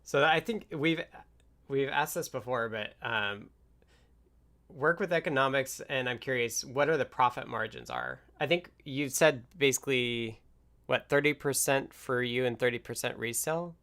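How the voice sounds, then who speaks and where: A man's voice: mid-range voice, expressive, normal speed, fairly bright tone. A man in his thirties hosting a livestream, chatting casually on a headset from a room at home. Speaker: livestream host and software developer.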